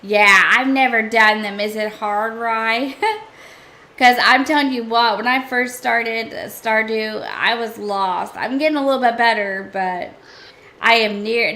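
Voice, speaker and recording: Woman game streamer, casual running commentary, bright tone, a touch hoarse. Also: a little high. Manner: chatty, thinking aloud, talks to chat. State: hoarse, losing her voice.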